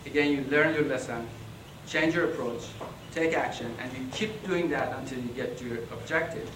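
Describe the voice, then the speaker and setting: A man giving a hesitant acceptance speech with many pauses and a flat, monotone delivery. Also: mid-range voice. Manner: hesitant, many ums, self-described monotone. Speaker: award recipient. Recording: echoey hall, distant mic, background noise.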